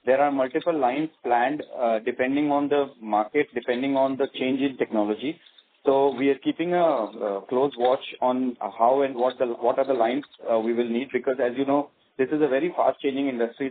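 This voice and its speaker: A man with an Indian accent speaks over a narrow, phone-like connection. His voice sits at an ordinary pitch, and he explains things haltingly, like an executive answering on an earnings call. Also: fairly even intonation. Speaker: company executive. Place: conference call. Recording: tinny phone-like conference line.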